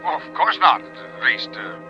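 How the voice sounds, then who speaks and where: An actor playing a hesitant, apologetic doctor speaking haltingly over the telephone in a 1950s radio drama. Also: male voice, a little high, sing-song. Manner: awkward, halting. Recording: muffled telephone sound with music.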